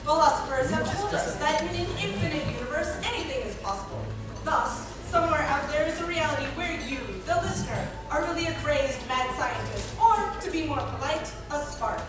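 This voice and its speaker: Woman as dramatic radio serial narrator, high voice, portentous, really echoey hall. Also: lively intonation, recorded from a distance, music in the background. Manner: deliberate, portentous. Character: grand dramatic announcer of a mad-science adventure serial.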